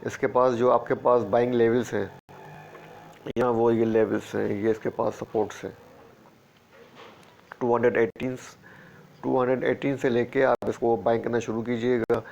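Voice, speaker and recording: A man talks through stock charts with a sore throat. His delivery is flat and monotone, and he repeats each price level. The recording sounds dull and lo-fi. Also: medium pitch, normal speed, gravelly, long pauses, a little hiss or hum. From Pakistan. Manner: steady, repeats price levels, calls listeners "janab". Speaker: stock market analyst. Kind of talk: screen-share chart walkthrough.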